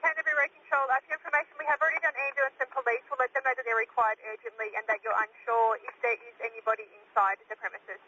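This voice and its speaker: Female fire dispatcher, Australian accent, high voice, procedural delivery, lo-fi radio channel with slight noise. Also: little pitch movement, very fast, hardly any pauses, sharp tone. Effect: two-way radio. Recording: muffled radio transmission with faint hiss.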